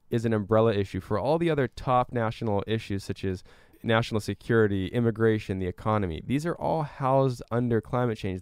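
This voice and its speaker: A man's voice: lowish voice, clear, resonant tone, no echo, clean studio sound. A man giving earnest, explanatory answers as a guest in a conversational interview. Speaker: climate educator and guest.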